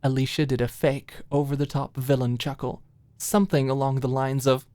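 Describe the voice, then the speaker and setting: A woman's voice: extremely low pitch, little pitch movement, normal speed, mellow, no room sound. A woman reading scripted story narration for an ASMR-style audiobook, close to the microphone.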